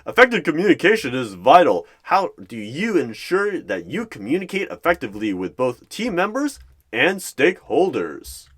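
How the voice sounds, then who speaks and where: A man's voice: on the high side, huge pitch swings, moderate pace, a bit bright, breathy edge, pitched above the performer's own voice, exaggerated voice. A man playing an earnest, awkward recruiter who asks formal, emphatic interview questions in an ASMR roleplay.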